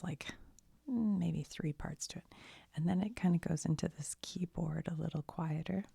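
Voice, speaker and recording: A woman host talking in a breathy, whispery, husky ASMR style, casually rambling through an explanation in a halting, self-deprecating way. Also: sits low, dark tone, no echo.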